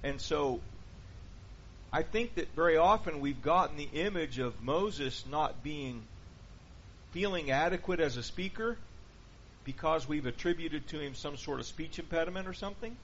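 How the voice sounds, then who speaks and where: A man's voice: a little high, lively intonation, normal speed, fairly bright tone. A man leading a Bible study class, asking the group questions and going over their answers. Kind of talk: interactive Bible class teaching. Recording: dull lo-fi with faint hiss.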